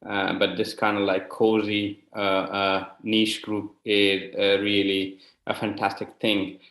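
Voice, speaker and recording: Male interview guest, forty, from Afghanistan, lowish flat voice, hesitant phrasing, conversational. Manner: hesitant, halting delivery. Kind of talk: conversational personal account. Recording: roomy, echoey sound.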